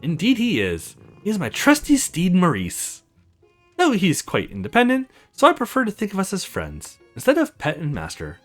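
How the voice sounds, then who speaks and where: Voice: mid-range voice, wildly swooping pitch, normal speed, soft background music, voice pushed up. Woman as a cheerful, boastful little magic fox. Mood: cheerful, polite.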